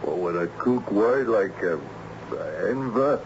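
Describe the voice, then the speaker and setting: An actor playing a blunt, impatient, skeptical lawyer in an old-time radio drama. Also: male voice, lowish voice, animated, slow. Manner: blunt, dismissive. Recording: muffled, noisy radio drama recording.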